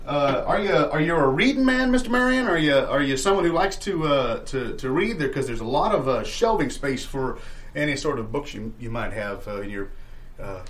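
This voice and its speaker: Casting: male actor as evasive small-time landlord, medium pitch, halting euphemistic sales patter, improv comedy sketch, lightly noisy live recording. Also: lively intonation, lightly acted. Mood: evasive, coaxing. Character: evasive, sales-hungry male landlord showing shabby rental bungalow.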